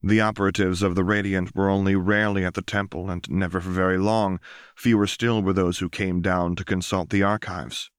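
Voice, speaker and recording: Low-voiced man narrating fiction. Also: little pitch movement, fairly quick, smooth, dry recording, studio quality. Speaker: audiobook narrator.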